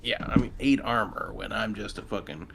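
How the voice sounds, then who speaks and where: A man's voice: lowish voice, moderate pace, heavy background noise. A man playing a tabletop game, chatting casually in informal, sweary language. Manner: profane, informal. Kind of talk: casual chat.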